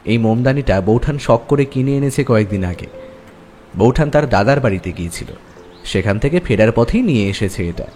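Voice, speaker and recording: A man's voice: medium pitch, a little mellow, slight background noise. A man giving a scripted first-person narration of a story.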